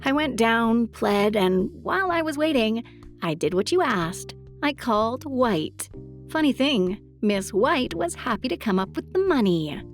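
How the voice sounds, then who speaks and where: A sassy detective's secretary played by a woman, with a fairly high voice and an unhurried drawn-out delivery. She is frank, teasing and sarcastic in noir radio-play style. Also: sing-song, soft background music. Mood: dry. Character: sassy, blunt female secretary of a private detective. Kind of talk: noir audio drama acting.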